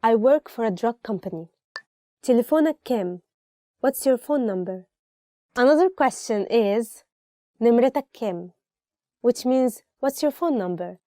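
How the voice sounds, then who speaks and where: A woman's voice: on the high side, animated, normal speed, pauses often, warm tone, no room sound, clean studio sound. A woman from Egypt with an Egyptian accent, a native Arabic speaker, hosting a language lesson in an informal, explanatory way. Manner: conversational, explanatory, giving examples.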